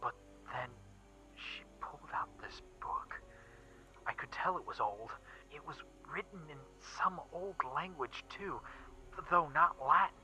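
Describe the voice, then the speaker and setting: A man playing a shaken young witness recounting an occult horror in a first-person story reading, halting and emphatic, with stammers and repeats. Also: fairly high pitch, animated, bright, forceful tone, full character voice. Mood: hushed, dread. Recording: muffled recording with background music. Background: eerie background music.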